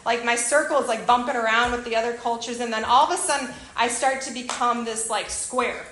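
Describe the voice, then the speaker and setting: A woman from Illinois with a Chicago accent, giving a chatty, animated church talk to a group about a missionary kids program. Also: fairly high pitch, a little fast, slight background noise, poor audio. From Illinois, USA. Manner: chatty, animated, self-deprecating asides. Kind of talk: informal church presentation to a group.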